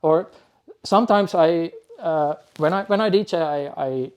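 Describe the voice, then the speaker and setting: A man in his forties from Finland, a DJ guest who speaks in a halting, thoughtful way with frequent restarts. Finnish and Dutch are his first languages. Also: a little high, darkish tone, smooth. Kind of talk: podcast interview.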